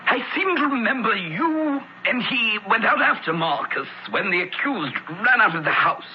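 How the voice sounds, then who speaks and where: High-pitched, swooping Roman senator. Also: male voice, very animated, moderate pace. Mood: stern questioning. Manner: probing, theatrical. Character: commanding Roman senator questioning witnesses. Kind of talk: radio drama acting. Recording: dull radio recording, faint hiss.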